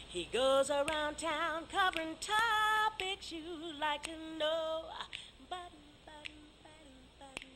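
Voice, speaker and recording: A woman's voice: sits high, takes it slowly, pushed and bright, soft background music. A woman performing a rhyming theme jingle for a show, with noise in the recording.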